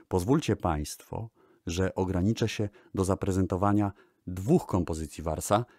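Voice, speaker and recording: A man narrating a scripted radio-style music programme in a formal, measured, deliberate way. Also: low voice, darkish tone, no room sound, studio quality.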